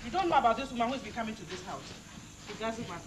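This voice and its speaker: A woman's voice: fairly high pitch, animated, racing, lightly acted. A woman playing an indignant, scorned wife and mother in a film drama, proud and combative, with a Ghanaian English accent. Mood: bitter. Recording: echoey room with background noise.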